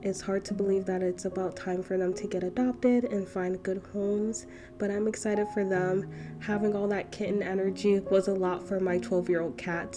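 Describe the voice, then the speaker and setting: Young woman, stuffy allergy voice, kinda nasal, chill rambly art voiceover, music under it. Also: medium pitch. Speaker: art channel host. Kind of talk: voiceover narration of a painting session. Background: background music.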